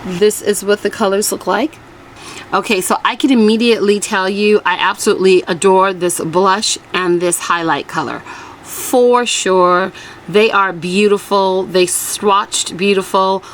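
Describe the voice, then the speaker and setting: A woman's voice: medium pitch, fairly even intonation, unhurried. A woman with a slightly scratchy, strained voice, chatting informally as the host of a casual makeup review vlog. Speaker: beauty vlogger host.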